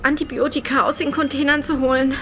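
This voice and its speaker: A woman playing an injured, shaken doctor in a radio play, speaking haltingly and in pain. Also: sits high, level tone, fairly bright tone. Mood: weak, shaken. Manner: halting, stammering, pained. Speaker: actor in an audio drama. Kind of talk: German radio play acting.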